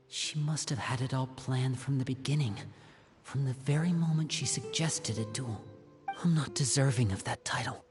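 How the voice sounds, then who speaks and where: An actor playing an earnest, loyal but self-doubting young man in a video game, speaking softly and respectfully. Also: medium pitch, close to an everyday voice. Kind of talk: scripted video game dialogue.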